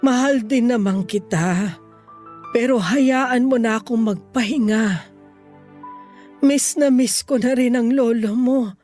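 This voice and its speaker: A frail, forgiving grandmother in a radio drama. She speaks slowly in a high voice, tender and sorrowful, with music underneath. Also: dark tone. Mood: tender, resigned. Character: sick, weary, tender grandmother near sixty, forgiving. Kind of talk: Tagalog radio drama acting.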